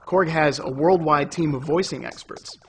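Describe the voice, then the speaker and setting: A man's voice: fairly high pitch, normal speed. A man presenting a product in a conversational, explanatory, semi-scripted way. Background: synthesizer music.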